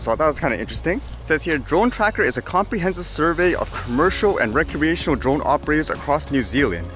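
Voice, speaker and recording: A man's voice: a little high, a bit bright. A man vlogging casually, talking through things informally and musing aloud with questions to himself and viewers. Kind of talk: casual vlog chat, reading news items aloud with comments. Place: outdoors in a windy urban area. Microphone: action camera. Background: clear background music.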